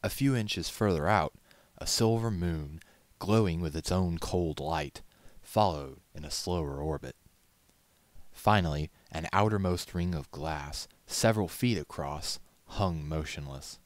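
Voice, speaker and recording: A man reading a story aloud as narrator, in a measured, solemn, dramatic audiobook style. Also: deep voice, no room sound.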